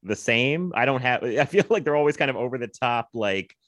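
A man's voice: medium pitch, lively intonation, a little fast, a bit bright, no echo, studio quality. An American man hosting a podcast, chatting casually in a jokey, rambling way. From Virginia, US. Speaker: podcast host. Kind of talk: casual chat.

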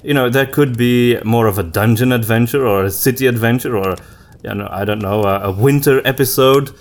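A man hosting a casual podcast conversation, thinking aloud with pauses as he talks. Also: mid-range voice. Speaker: podcast host.

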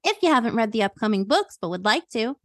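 A woman's voice: fairly high pitch, sing-song, fast talker, dry recording, studio quality. A woman podcast host reading a scripted outro announcement.

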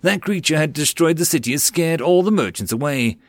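A man's voice: on the high side, animated, fairly quick, no echo, voice pushed up. A man voicing a lanky, desperate middle-aged male merchant who pants and stammers as he pleads. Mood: weary.

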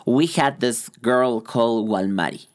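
Male podcast co-host, Ecuadorian Spanish accent, conversational, halting delivery with emphatic repeats. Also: mid-range voice. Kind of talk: casual podcast chat.

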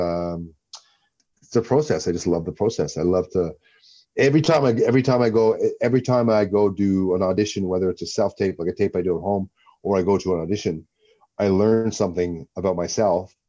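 Deep-voiced man musing in an interview. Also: dark tone, muffled recording. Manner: rambling, repeats himself while thinking. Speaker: guest, actor and former fighter. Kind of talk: relaxed interview talk.

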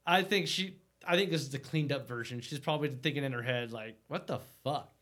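A man's voice: medium pitch, fairly quick, a bit bright. A man co-hosting a podcast, telling a story casually and bluntly with some swearing. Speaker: podcast co-host.

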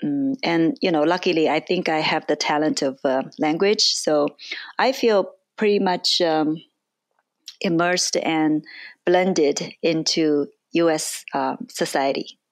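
A woman's voice: low-pitched, takes it slowly, no echo. A woman in her forties with a Chinese accent, answering interview questions in a thoughtful way, with frequent fillers and restarts. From China.

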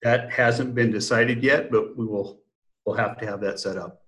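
A man's voice: lowish voice, fairly even intonation, moderate pace, fairly bright tone. A man, a sales director, explaining plans in an interview, hesitant with many fillers. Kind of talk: conversational business interview.

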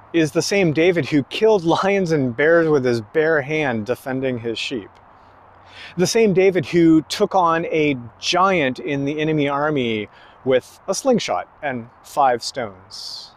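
Male preacher, slow emphatic delivery, slightly high voice, faint noise behind. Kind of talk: sermon.

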